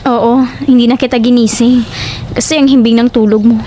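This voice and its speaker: A woman's voice: on the high side, steady tone, a little fast, mellow, a little hiss or hum, lightly acted. A woman playing an earnest, worried young friend with an imploring manner in a Filipino horror audio drama. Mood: quiet, ominous. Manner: urgent, imploring.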